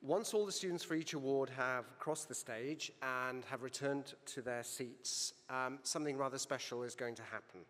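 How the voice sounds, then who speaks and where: A man's voice: mid-range voice, moderate pace, few pauses, a bit bright. A man with a Welsh accent, a university provost, giving a formal but encouraging welcome speech at a graduation ceremony. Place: large ceremony hall. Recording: long hall reverb.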